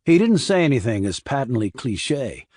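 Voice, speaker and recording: A man narrating a crime thriller audiobook in a measured, serious, scripted reading. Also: medium pitch, big pitch swings, darkish tone, no room sound, clean studio sound.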